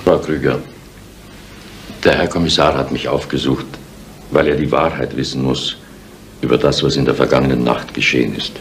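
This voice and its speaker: An actor playing an earnest, solemn village confidant with measured, formal delivery in a seventies radio crime drama. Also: male voice, very deep voice, fairly even intonation, vocal fry. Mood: solemn, probing. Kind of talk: 1970s German radio crime drama acting. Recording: old radio recording with faint noise.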